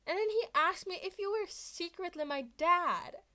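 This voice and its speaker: A woman voicing an excitable, mischievous teenage schoolboy in a story reading, exclaiming and stammering. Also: extremely high pitch, moderate pace, pitched above the performer's own voice, exaggerated voice. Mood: gleeful, incredulous.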